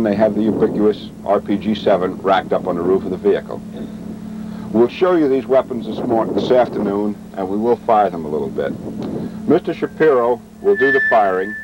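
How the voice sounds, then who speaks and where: A man giving a military training lecture as an instructor, matter-of-fact and measured, speaking in short deliberate phrases. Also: lowish voice, expressive, lo-fi sound. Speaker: weapons instructor and presenter. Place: outdoors at a weapons demonstration. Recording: vintage training film, noisy outdoor sound.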